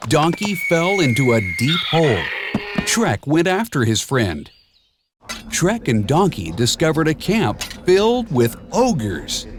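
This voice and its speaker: A man reading a children's storybook aloud in a measured, expressive storytelling manner, with clear and simple phrasing. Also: medium pitch. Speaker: storybook narrator. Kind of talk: scripted children's read-along storybook narration.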